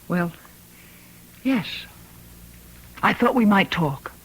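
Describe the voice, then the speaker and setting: An actress playing a composed, solicitous woman in an old-time radio mystery, with measured, careful delivery. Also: medium pitch, animated, breathy edge, full character voice, low-quality recording.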